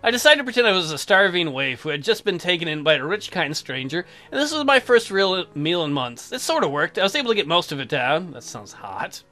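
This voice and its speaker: A man's voice: high-pitched, very animated, a little fast, hardly any pauses, sharp tone. A man doing casual, crude and sarcastic let's play commentary, swearing and reading game text aloud. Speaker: gaming video host. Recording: music behind the voice. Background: game music.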